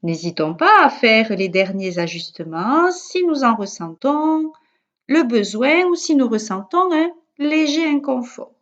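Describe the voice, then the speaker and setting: A woman with a fairly high voice leads a guided yoga nidra very slowly. Her delivery is calm and measured, with soothing pauses between instructions. The sound is a little dull and lo-fi. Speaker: yoga teacher.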